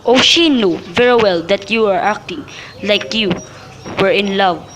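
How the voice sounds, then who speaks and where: A woman playing a holy friar and gently scolding mentor in an amateur radio play, reciting carefully with small stumbles. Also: mid-range voice, unhurried, natural voice. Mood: chiding. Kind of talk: student radio drama, recited modern Shakespeare. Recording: clear background noise with birdsong.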